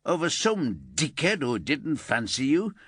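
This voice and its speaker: A man's voice: medium pitch, huge pitch swings, measured pace, fairly bright tone, breathy edge, no echo, clean studio sound, pitched above the performer's own voice, full character voice. A man voicing a distraught, incredulous middle-aged father.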